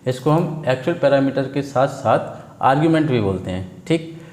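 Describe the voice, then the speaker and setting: Indian guy doing a coding tutorial, echoey room, mid pitch, teachery, asks himself questions then answers. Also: animated, moderate pace, a little mellow. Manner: didactic, asks and answers own questions, frequent check words. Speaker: programming tutor. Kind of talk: informal screen-share tutorial, explaining step by step. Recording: echoey, roomy sound.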